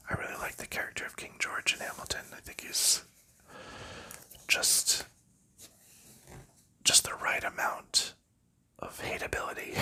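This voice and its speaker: Guy doing asmr whisper chat, super slow and unhurried, bright airy whisper, little chuckles. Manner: soft rambling whisper with light chuckles. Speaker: ASMR creator.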